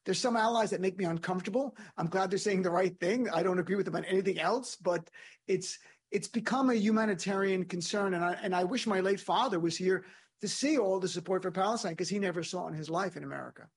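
A middle-aged man speaking as an interview guest, an animated and emphatic storyteller. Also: sits high, fast, slightly rough. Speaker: guest, comedian and lawyer. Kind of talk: panel interview.

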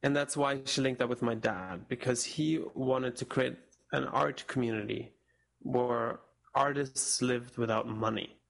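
Young male guest, German native, gravelly voice, thoughtful and hesitant, restarts a lot. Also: mid-range voice, fairly bright tone. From Spain, raised in Portugal. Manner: reflective, halting with restarts. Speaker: interview guest, musician. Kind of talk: casual interview talk.

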